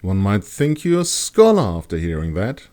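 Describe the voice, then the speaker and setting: A man's voice: lowish voice, wildly swooping pitch, moderate pace, warm tone. A man voicing an observant woman traveler in a fantasy audiobook, wry and teasing in manner.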